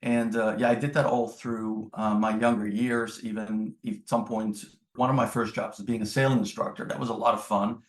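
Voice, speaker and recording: A middle-aged man with a fairly low voice, recorded in an echoing room. He is a native Dutch speaker from the Netherlands, talking reflectively and conversationally as an interview guest. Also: little pitch movement, moderate pace, hardly any pauses. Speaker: podcast guest, business executive. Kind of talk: conversational interview answers, reminiscing. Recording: roomy, echoing sound.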